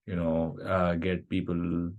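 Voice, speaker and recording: A man hosting a casual interview podcast, asking questions in a relaxed way with many fillers. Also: low-pitched, takes it slowly, lo-fi sound. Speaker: podcast host.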